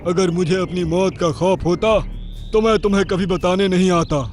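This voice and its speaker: A man as a high-pitched regal lion king. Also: fairly even intonation, normal speed, faint music behind, close to an everyday voice. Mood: cunning. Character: measured, shrewd lion king of the jungle. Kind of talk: children's cartoon character acting.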